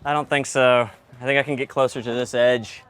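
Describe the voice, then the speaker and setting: A man with a mid-pitched voice talks through hands-on work in a casual, joking way. There is clear background noise from working on location, and he sounds like he is chatting to a helper. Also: little pitch movement, fairly quick, a bit bright. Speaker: sound engineer and host. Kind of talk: casual chat while working.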